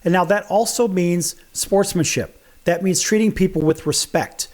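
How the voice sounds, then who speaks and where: High-voiced animated talk show host. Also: male voice, moderate pace. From United States. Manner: animated, rhetorical questions.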